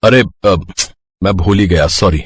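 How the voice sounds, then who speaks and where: A man playing a conciliatory family man, a caring brother and husband, in an animated Hindi family story, with a husky voice. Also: low voice, measured pace, no room sound, studio quality. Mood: flustered.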